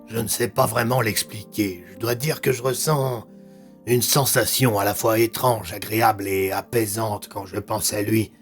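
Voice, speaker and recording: A man voicing a concerned, affectionate police chief, plain-spoken and weary, in a measured conversational way. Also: fairly low pitch, steady tone, faint music behind, natural voice. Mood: reflective.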